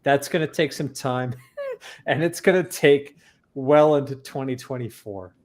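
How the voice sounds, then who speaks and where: A man's voice: medium pitch, unhurried, a crowd in the background. A man co-hosting a casual livestream chat, swearing freely and laughing while telling stories. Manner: profane, laughing storyteller. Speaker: co-host.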